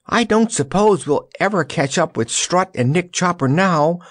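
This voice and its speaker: A man's voice: medium pitch, sing-song, normal speed, a bit bright, slightly rough, no room sound, studio quality, heavily acted. A man voicing a spirited, snappy girl adventurer in a storybook, calling out shrilly. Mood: anxious shouting.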